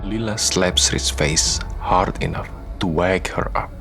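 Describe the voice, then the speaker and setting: Slow, very deep-voiced male narrator. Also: vocal fry, over music. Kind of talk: scripted radio drama narration.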